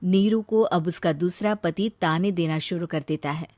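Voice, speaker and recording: A woman's voice: on the low side, normal speed, darkish tone, no echo. A woman telling a scripted moral story as narrator.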